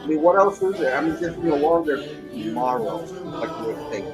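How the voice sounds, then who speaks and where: A man's voice: on the high side, animated, brisk, over music. A man co-hosting a casual commentary, rambling through anecdotes. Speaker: podcast co-host.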